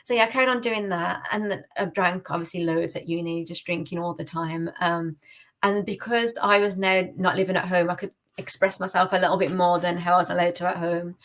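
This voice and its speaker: Woman, young adult, British accent, lowish pitch, casual storyteller, conversational. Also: fairly even intonation, brisk, fairly bright tone. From United Kingdom. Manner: informal, chatty, frequent "like". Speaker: podcast guest giving testimony.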